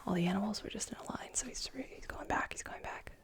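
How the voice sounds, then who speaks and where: A woman's voice: mid-range voice, fast talker. A young woman whispering children's books aloud as ASMR, with amused asides. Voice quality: soft whisper. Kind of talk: ASMR storybook reading.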